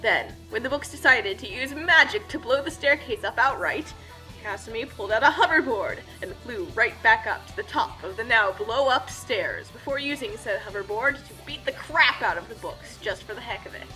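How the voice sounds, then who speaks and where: A woman's voice: fairly high pitch, lively intonation, normal speed, pushed and bright, soft background music, low-quality recording. A woman telling a comedic story as a scripted narration, casual and tongue-in-cheek.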